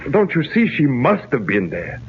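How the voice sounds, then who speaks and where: Anxious formal european count in a 40s radio show, very swingy delivery, tinny hissy sound. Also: male voice, mid-range voice, wildly swooping pitch, normal speed. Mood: frantic. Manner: agitated, hesitant, formal. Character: distraught European count, anxious devoted husband. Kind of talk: 1940s radio serial acting.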